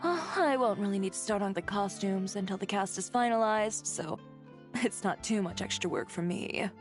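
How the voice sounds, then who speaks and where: A woman's voice: medium pitch, moderate pace, faint music behind, close to an everyday voice. An actress voicing a stylish, practical female costume designer with a matter-of-fact manner. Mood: easygoing. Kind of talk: video game voice acting.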